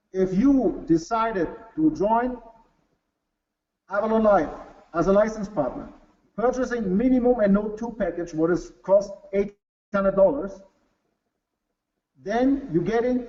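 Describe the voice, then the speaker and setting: Man, German accent, non-native English speaker, fairly high voice, earnest halting webinar presenter, dull lo-fi audio. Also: a little mellow. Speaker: company executive presenting to a webinar.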